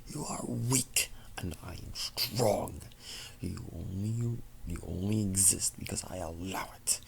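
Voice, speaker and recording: A man's voice: sits low, slow pace, vocal fry, exaggerated voice, poor audio. A man voicing a sinister, taunting shadow self in a whispery hiss for a story reading.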